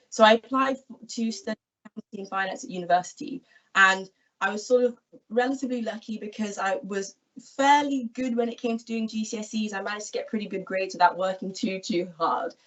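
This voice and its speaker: A woman in her twenties, from England, explaining her job in a friendly, clear way on an online careers talk. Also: medium pitch. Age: 20s. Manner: friendly, explanatory, gestures while talking. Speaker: equity research analyst guest. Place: small room at home, indoors. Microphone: laptop webcam video call. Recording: distant, dull video call audio.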